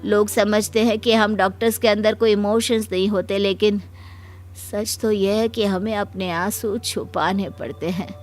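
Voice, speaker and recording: Woman playing a supportive man doctor, gentle n sympathetic, mid pitch, faint music. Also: fairly even intonation, unhurried, lightly acted. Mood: sympathetic, resolute. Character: sympathetic male doctor, supportive colleague and friend. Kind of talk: Hindi moral cartoon story voice acting.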